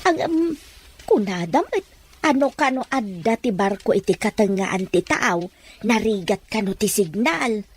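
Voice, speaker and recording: A woman's voice: medium pitch, animated, a little slow, slightly rough. A woman playing a wistful, loving older Ilocano mother in an audio drama, speaking with an Ilocano accent.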